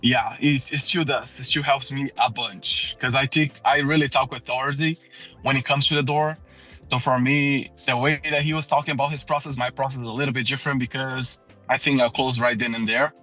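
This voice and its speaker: A man in his thirties, originally from Brazil, talks with a clear Brazilian Portuguese accent and a bright, forceful voice. He speaks English as a second language, earnestly and with frequent restarts. Faint music sits behind him. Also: medium pitch, fairly even intonation, fairly quick, poor audio. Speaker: guest, door-to-door auto hail repair salesman. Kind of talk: casual podcast conversation.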